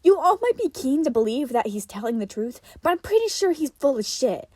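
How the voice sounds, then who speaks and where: Narrator playing a combative, high-voiced angry woman. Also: sing-song, fairly quick, darkish tone, no echo. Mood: defiant. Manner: blunt, defiant. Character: extraordinarily angry tiny woman, combative and foul-mouthed.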